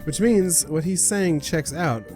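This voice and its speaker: Guy reading young game hero thinking out loud, unsure, reflective, slightly high voice, music underneath. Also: a little slow, close to an everyday voice. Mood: doubtful. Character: hesitant, conscientious young protagonist musing to themselves. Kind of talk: video game dialogue read aloud.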